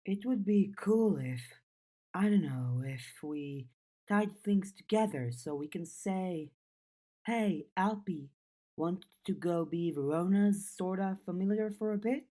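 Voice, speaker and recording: A woman's voice: lowish voice, slow pace, warm tone, pitched above the performer's own voice. A woman voicing a blunt, restless teenage girl with a wry streak in an audiobook. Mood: musing. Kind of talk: audiobook character dialogue.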